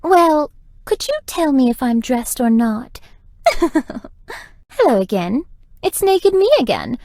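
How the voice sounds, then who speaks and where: High, lively flirtatious vampire lady. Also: takes it slowly, no echo, clean studio sound, heavily acted. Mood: flirty, teasing. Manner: flirty, teasing, melodramatic. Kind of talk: scripted video game character dialogue.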